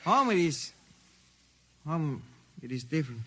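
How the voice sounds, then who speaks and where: A man's voice: a little high, very animated, a little slow, pushed and bright, heavily acted. An actor playing a weary, resentful male indentured laborer in an old-time radio drama, speaking in simple clipped sentences. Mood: bitter.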